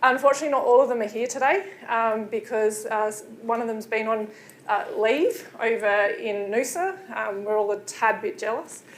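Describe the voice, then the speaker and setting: A woman's voice: on the high side, moderate pace, slight background noise. A woman lecturing in midwifery, welcoming new students in a chatty way with many fillers. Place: lecture room. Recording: echoey room.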